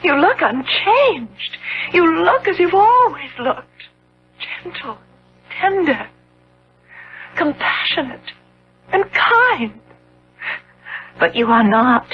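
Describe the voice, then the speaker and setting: An actress playing an earnest, heartbroken young fiancée in a melodramatic, halting, imploring way, in a 1970s radio drama. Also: female voice, extremely high pitch, big pitch swings, full character voice. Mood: anguished. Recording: muffled, humming old radio broadcast.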